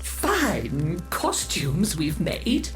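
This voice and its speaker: A woman narrating a creepy fairy tale for a game, dramatic and often sinking into hushed whispers. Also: low voice, huge pitch swings, extremely slow, faint music behind, heavily acted. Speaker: storyteller.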